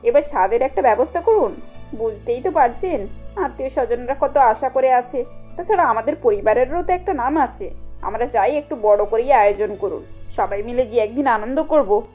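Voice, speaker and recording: A woman's voice: fairly high pitch, lively intonation, normal speed, warm tone, husky, dull audio, soft background music. A woman voicing a pushy, self-important middle-aged mother-in-law in a cartoon, breezy and falsely sweet as she makes demands. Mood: sweetly demanding. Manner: breezy, insistent, polite demands.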